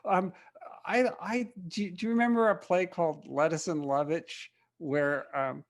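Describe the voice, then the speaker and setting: Wry American male host, slightly high voice. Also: steady tone. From Reading, Pennsylvania, United States. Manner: wry, halting delivery. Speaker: interview show host. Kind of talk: conversational interviewing.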